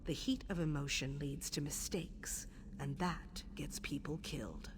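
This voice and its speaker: A woman voicing a cold, stern assassin matron in a fantasy game, speaking in measured, commanding phrases. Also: sits low, fairly even intonation, slight background noise. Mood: cold, grave.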